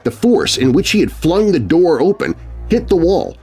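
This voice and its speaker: Theatrical male storyteller with animated pitch. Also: normal speed, husky, soft background music. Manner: theatrical, suspenseful. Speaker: narrator and host. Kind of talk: dramatic scripted horror story narration for children.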